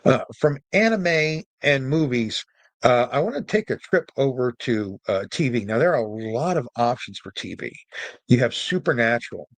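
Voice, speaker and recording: A man's voice: medium pitch, expressive, moderate pace, no echo. A man giving casual solo commentary as a podcast host, informal and opinionated, with joking asides.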